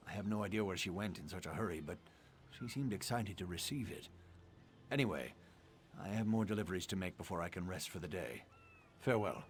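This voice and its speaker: Man playing a low-voiced courier with noise behind. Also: natural voice. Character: hurried, helpful male letter courier. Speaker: non-player character. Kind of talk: video game dialogue.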